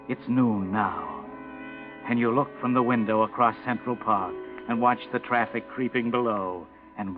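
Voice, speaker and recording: A man's voice: fairly low pitch, lightly acted. Mocking, ominous male storyteller, performed by an actor, vintage radio mystery, tinny sound with prominent music. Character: sardonic, taunting omniscient male narrator of a crime story. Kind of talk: 1940s radio drama narration, second person. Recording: thin, phone-like old radio recording.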